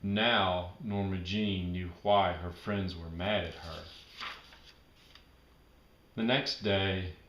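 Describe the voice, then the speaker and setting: A man narrating a children's storybook aloud in an expressive, emphatic storytelling manner. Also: low voice, takes it slowly, long pauses, reverberant, slight background noise.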